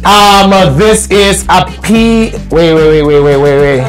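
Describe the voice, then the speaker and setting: A man hosting a dating game show in a playful, animated way, joking and hyping up the contestants. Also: high-pitched, measured pace, faint music behind.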